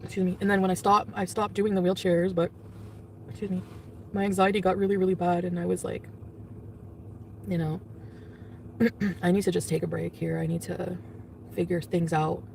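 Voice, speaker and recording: A woman's voice: fairly low pitch, little pitch movement, moderate pace, vocal fry, long pauses, darkish tone. Rambling woman vlogging from far off. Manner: rambling, lots of fillers, laughs often. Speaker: vlogger in the clip being reacted to. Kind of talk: casual vlog and live-stream chat. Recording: distant, noisy clip audio.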